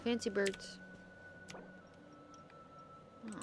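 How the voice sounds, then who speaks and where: A woman's voice: fairly quick, over music. A woman doing casual gaming stream commentary in a playful, blunt, slangy manner. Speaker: game streamer.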